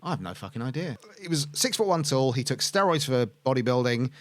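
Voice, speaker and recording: A man's voice: medium pitch, lively intonation, fairly quick, dry recording. A man co-hosting a podcast in casual chat, rambling and correcting himself with lots of fillers. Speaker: podcast co-host.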